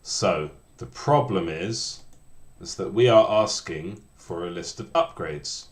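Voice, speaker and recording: A man in his late thirties with a low voice talks through his coding session on a livestream, trailing off and restarting his sentences. His casual, self-deprecating chat rings out in a roomy, echoey space. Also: animated. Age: 30s. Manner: rambling, halting, many restarts, self-deprecating. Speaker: game developer streaming.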